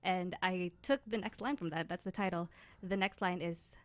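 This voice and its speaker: A woman's voice: fairly low pitch, fairly even intonation, moderate pace, no echo. A woman guest in a casual radio interview, talking hesitantly with many fillers and laughs. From Philippine heritage. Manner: giggly, hesitant, filler-heavy. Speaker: guest radio drama producer.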